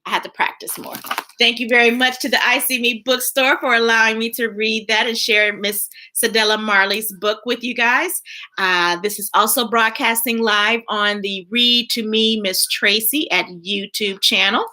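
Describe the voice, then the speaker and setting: Brief: American woman, storybook reader on a live stream, high bright voice, sings lines and chats. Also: steady tone, normal speed, slight background noise. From United States. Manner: sings the book text with playful scat sounds; chatty asides between readings. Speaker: children's book reader.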